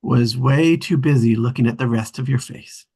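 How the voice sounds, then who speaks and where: A man plays an earnest family man in a drama script read. His voice is husky and mid-pitched, sentimental and natural. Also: normal speed, darkish tone, lightly acted. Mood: tender, reassuring. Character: earnest, sentimental grown brother, husband and father.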